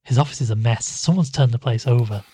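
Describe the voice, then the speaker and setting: A man's voice: mid-range voice, fast talker, mellow, a little hiss or hum, natural voice. An actor playing an anxious man caught up in an occult mystery, speaking in a hesitant, questioning way in an audio drama. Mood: uneasy.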